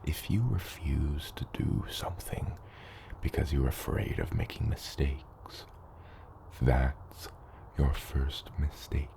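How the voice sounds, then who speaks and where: Man voicing a very deep, gravelly dragon father. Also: warm tone, slight background noise, heavily acted. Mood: patient, reassuring. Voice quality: very deep, gravelly, partly whispery. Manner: slow, measured mentoring with hesitant asides. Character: patient, gently teasing adult dragon father teaching a hatchling. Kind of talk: ASMR roleplay, acted monologue to the listener.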